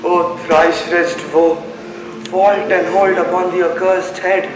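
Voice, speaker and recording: A man's voice: high-pitched, level tone, slow. Young man playing grieving hot-headed brother, declamatory stage Shakespeare, far from mic, music behind. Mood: anguished grief. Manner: declamatory, anguished. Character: grief-stricken, hot-headed brother at sister's grave. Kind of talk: school stage Shakespeare play. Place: indoor stage in a hall. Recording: distant mic, echoey hall, music behind.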